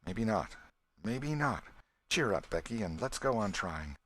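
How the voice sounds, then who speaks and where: A man reading an audiobook, voicing a brave, tender young boy in a gentle, reassuring, earnest way. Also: fairly low pitch, big pitch swings, a little slow, heavily acted. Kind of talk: audiobook character voice.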